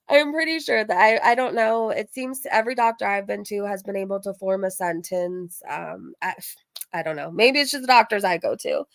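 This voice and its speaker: Woman, livestream host, vocal fry, casual rambling chat, informal, emphatic repetition, dry close recording. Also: medium pitch, normal speed, no room sound. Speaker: livestream host reacting to drama and reading chat.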